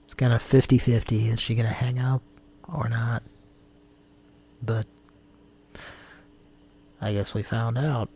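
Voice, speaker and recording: A man's voice: mid-range voice, level tone, measured pace, long pauses, mellow, breathy edge. A man hosting a prank-call show, chatting casually in a rambling, jokey and profane way. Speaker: host. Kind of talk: casual chat.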